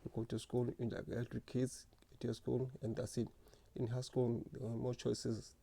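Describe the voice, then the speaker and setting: A man telling his own story in a slow, earnest, reflective way, stuttering often with repeated words and restarts. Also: medium pitch, completely flat delivery, creaky, dark tone, slightly rough. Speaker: speaker sharing lived experience. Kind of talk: personal reflective monologue.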